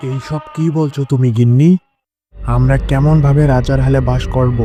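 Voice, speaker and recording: A man's voice: medium pitch, steady tone, moderate pace, lightly acted. A man voicing an easily swayed husband and elder brother in a children's cartoon, with music behind him. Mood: puzzled.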